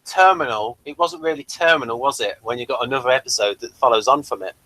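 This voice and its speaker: A man co-hosting a casual review chat, explaining his points in a halting way with repeats. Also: mid-range voice, bright, forceful tone, no echo, poor audio. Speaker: podcast co-host reviewing a TV show.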